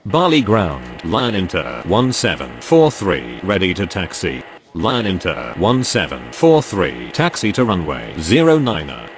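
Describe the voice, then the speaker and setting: A man's voice: huge pitch swings, a little slow, pauses often, full character voice. A man playing a businesslike aviation radio operator in a game, using formulaic, procedural phraseology. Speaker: air traffic radio voice, pilot and controller. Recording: noisy audio with background rumble.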